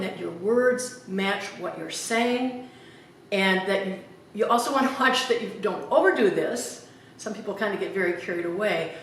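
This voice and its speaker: A woman's voice: mid-range voice, a bit bright. A woman giving a public speaking lesson at a podium, speaking carefully and enunciating each word. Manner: careful, deliberate, clearly enunciated. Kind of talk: instructional demonstration at a podium. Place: church hall, speaking at a podium. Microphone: camera at a distance. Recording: very echoey hall from far off, faint noise.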